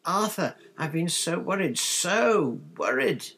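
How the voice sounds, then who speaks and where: Storybook mum, played by a man: high-pitched, husky, anxious and fussing, easily moved to tears. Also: big pitch swings, takes it slowly, fairly bright tone, heavily acted. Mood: frantic relief. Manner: distracted, fretful, fussing. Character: anxious, tearful, fussing middle-aged mother.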